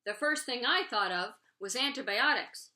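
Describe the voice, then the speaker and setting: A woman's voice: on the high side, animated, normal speed, bright, forceful tone. A woman voicing a brainy, mild schoolboy in a storybook, matter-of-fact and precise.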